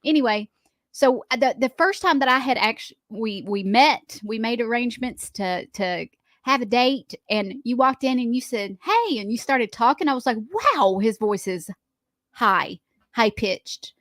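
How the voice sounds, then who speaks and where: A woman's voice: on the high side, lively intonation, measured pace, fairly bright tone, no echo, studio quality. A woman in her thirties co-hosting a morning radio show, telling stories with lots of laughs. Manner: chatty, giggly storytelling. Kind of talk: casual radio banter. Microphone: studio microphone.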